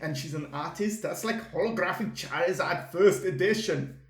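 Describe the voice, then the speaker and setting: A man voicing a self-doubting, excitable young male manga artist. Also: fairly high pitch, moderate pace, voice pushed up, natural voice. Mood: overexcited. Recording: echoey room.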